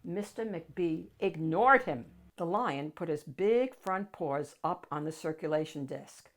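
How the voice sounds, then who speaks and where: A woman narrating a children's picture book aloud with dramatic, expressive storytelling. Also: deep voice, takes it slowly.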